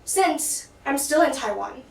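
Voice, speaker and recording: A woman's voice: sits high, animated, slow, creaky, slight background noise. Teenage girl giving a contest speech in an echoey hall. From Philippines. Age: teens. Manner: earnest, with small restarts. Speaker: student contestant. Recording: echoey hall, mic at a distance.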